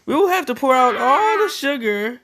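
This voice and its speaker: A woman's voice: sits high, big pitch swings, normal speed, a bit bright, pitched above the performer's own voice, full character voice. A woman voicing earnest little male mouse helpers from a fairy tale, urgent and exclaiming. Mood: worried, resolute.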